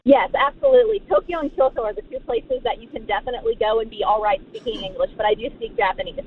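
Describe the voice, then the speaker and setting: A woman's voice: fairly high pitch, animated, fast. A young woman in her twenties calling in to a radio show, eager and enthusiastic. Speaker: caller. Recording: phone line with faint noise.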